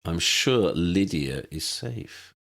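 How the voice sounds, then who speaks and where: A man voicing an erudite, courteous, cautious gentleman adventurer who speaks in formal, precise phrases. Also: sits low, lively intonation, gravelly, heavily acted. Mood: reassuring. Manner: formal, measured, precise wording.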